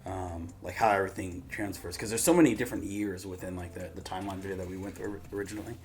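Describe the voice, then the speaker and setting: A man's voice: sits low, fairly even intonation, quick pace, a little mellow. A man hosting a casual reaction discussion, thinking aloud in a rambling, informal, sweary way. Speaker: reaction show host.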